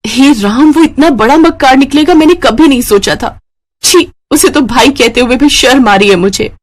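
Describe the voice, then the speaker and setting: A woman voicing a caring, supportive wife in an animated moral story, speaking earnestly and soothingly. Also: high-pitched, moderate pace, pitched above the performer's own voice. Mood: worried, indignant.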